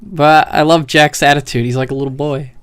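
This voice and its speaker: A man doing casual, unscripted game commentary as a streamer, profane and opinionated, on a microphone turned up too high. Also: steady tone, a little fast, clean tone. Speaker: streamer critiquing a video game. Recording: overdriven, too-hot microphone.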